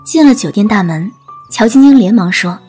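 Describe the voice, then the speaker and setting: A woman's voice: medium pitch, expressive, moderate pace, mellow. A woman narrating a scripted dramatic audiobook story. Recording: music bed under narration.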